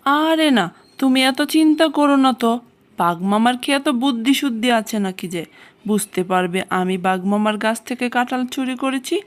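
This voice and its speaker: A woman's voice: fairly high pitch, moderate pace, natural voice. A woman voicing a cunning, cocky male fox thief in a children's cartoon, boastful and breezily dismissive. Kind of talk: children's cartoon character acting.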